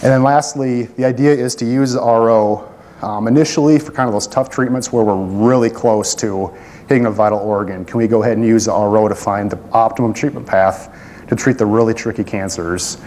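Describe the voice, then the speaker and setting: A man's voice: fairly low pitch, brisk, a little mellow, slight background noise. A man presenting a technical project at a conference and taking questions, talking casually with many fillers and self-deprecating asides. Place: conference room.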